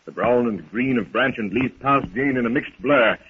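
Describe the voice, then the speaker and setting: A man's voice: mid-range voice, moderate pace. A man narrating an adventure serial in a dramatic, scripted 1930s radio style. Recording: noisy, tinny 1930s radio recording.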